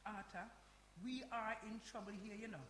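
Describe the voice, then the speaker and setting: An actress playing a firm, pragmatic community centre manager in a stage play, insistent and admonishing. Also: female voice, mid-range voice, normal speed, fairly bright tone, close to an everyday voice. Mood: firm, worried. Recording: echoey live hall, faint noise.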